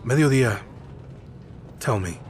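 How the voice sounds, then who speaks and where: A man's voice: medium pitch, lively intonation, measured pace, darkish tone, a little hiss or hum, natural voice. A man playing a brooding, guilt-ridden soldier of a sun god in a video game, grim, terse and weary. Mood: solemn, doubting. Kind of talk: dramatic video game acting.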